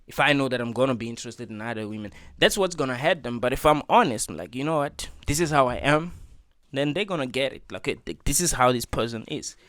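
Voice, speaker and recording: A man's voice: mid-range voice, expressive, fast talker, a bit bright, no echo. A man hosting a reaction video, talking in an earnest, preachy way and repeating his points with lots of filler words. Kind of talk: casual opinion monologue.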